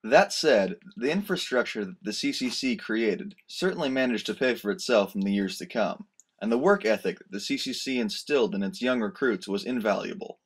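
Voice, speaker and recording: A man narrates from a script in the style of a history documentary. His voice sits a little low, and he reads as a student presenter would. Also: lively intonation, normal speed, breathy edge.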